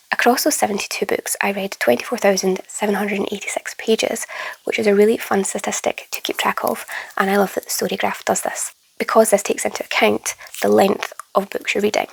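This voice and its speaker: A young woman vlogger talks through her favourite reads with eager, chatty warmth of enthusiasm. Her voice is croaky and gravelly from a lingering flu, full of fry. Some phrases fade into a husky, breathy near-whisper. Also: medium pitch, low-quality recording. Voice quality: hoarse, gravelly. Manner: chatty and enthusiastic, drifting into soft whispery stretches. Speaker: book vlogger. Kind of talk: casual reading wrap-up to camera.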